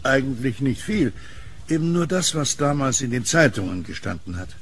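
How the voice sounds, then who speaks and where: An actor playing a calm, polite kiosk keeper in an audio drama, guarded yet curious. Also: male voice, medium pitch, moderate pace, a little hiss or hum. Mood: guarded curiosity. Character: reserved, curious blind male kiosk keeper with dog. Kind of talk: German horror audio drama acting.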